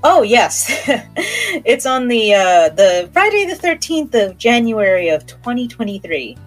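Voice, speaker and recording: A woman's voice: a little high, big pitch swings, unhurried, soft background music. A woman hosting a horror podcast, talking in a conversational, playful and enthusiastic way. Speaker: podcast host.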